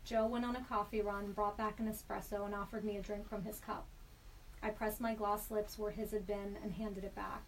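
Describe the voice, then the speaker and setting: A woman in a studio reading a personal story aloud in a measured, deliberate way. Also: mid-range voice, flat delivery. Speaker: storyteller guest. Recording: slightly distant mic with faint background hiss.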